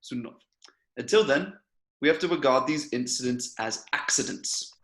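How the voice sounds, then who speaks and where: A man's voice: medium pitch, lively intonation, moderate pace, a bit bright, close to an everyday voice. A man voicing a fatherly male village police chief who talks in a soothing, patronizing way. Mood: placating. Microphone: video call.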